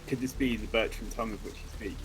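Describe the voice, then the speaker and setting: A man's voice: on the low side, fairly even intonation, fast talker, music in the background, low-quality recording. Wry man co-hosting a game playthrough. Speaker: co-host of gaming channel. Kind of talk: casual playthrough commentary.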